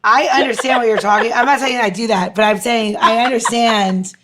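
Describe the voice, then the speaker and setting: A woman's voice: a little high, steady tone, a little fast, noisy background. A woman in her thirties hosting a podcast, chatting casually and laughing as she acts out little quoted voices. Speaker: podcast host.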